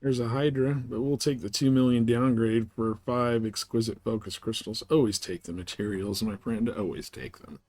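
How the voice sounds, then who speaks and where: Male game streamer, medium pitch, slangy and folksy, chatting casually to viewers while playing. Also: steady tone, moderate pace, hardly any pauses, darkish tone, no echo. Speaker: game livestream host.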